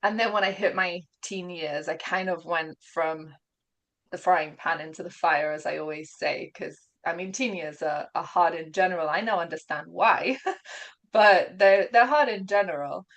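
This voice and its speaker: A woman with a lowish voice and a mixed British accent, speaking as an interview guest over a video call. She tells a personal story in an open, earnest way and laughs easily between phrases. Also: moderate pace, fairly bright tone. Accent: mixed British and Gibraltarian. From England and Gibraltar. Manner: open, earnest, laughs often while telling her story.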